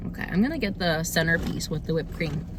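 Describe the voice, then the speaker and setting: A young woman talks to her viewers in an informal, chatty review style. Her voice sits a bit low and is slightly hoarse, as if getting over a cold. Faint background noise runs under the recording. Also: expressive, fast talker. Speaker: host of a cookie review video series. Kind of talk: casual chat food review to camera.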